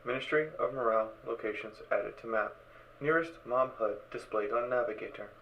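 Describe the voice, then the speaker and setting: Man playing a deadpan spacesuit computer, mechanical, formal, clipped, audio drama, muffled and away from the mic. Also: medium pitch, a little fast, lightly acted. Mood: informative, flat. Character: literal-minded male computer voice of a smart spacesuit. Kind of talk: fan audio drama character acting. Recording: dull, distant, noisy sound.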